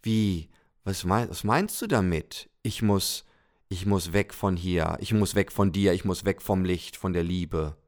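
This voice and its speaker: A man voicing a small, excited, childlike soul in a podcast story, eager and repeating itself. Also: deep voice, unhurried. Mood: bewildered.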